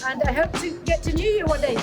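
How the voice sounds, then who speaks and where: High-voiced woman greeting over very loud music. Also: lively intonation, quick pace. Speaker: party member welcoming visitors. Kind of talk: welcome greeting to camera. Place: political party event.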